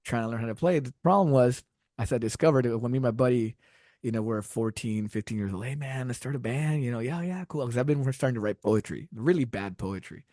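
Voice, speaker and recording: A man's voice: medium pitch, a little fast, mellow, no room sound, studio quality. A middle-aged man from Texas whose first language is Spanish, telling stories as a podcast guest, rambling and laughing often. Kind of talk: casual interview storytelling.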